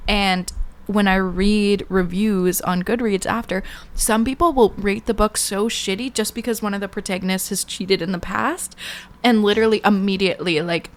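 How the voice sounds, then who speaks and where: A woman's voice: medium pitch, steady tone, moderate pace. A woman co-hosting a podcast, chatting casually and giving her opinions on books in an informal way. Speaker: podcast co-host. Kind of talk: casual book chat with a friend.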